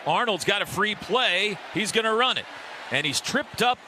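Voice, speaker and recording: A male radio play-by-play announcer with a fairly high voice calls live football. He speaks in clipped, vivid phrases that swell with the action. Clear stadium noise runs behind him. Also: expressive, moderate pace. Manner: clipped, vivid running description that swells on big plays. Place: stadium broadcast booth.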